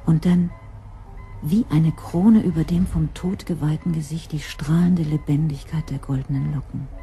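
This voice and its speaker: A woman's voice: low voice, fairly even intonation, normal speed, mellow, soft background music. A woman narrator reading scripted narration for a crime radio drama.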